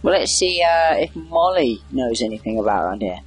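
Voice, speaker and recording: A man's voice: mid-range voice, big pitch swings, fairly quick, husky, soft background music. A man giving casual, rambling video game commentary, wry and chatty as he reacts aloud. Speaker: gamer doing a video game playthrough.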